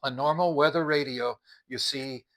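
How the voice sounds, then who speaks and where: A man's voice: mid-range voice, fairly even intonation, normal speed, a bit bright. A middle-aged or older man giving a casual product review, explaining things in a plainspoken, methodical way.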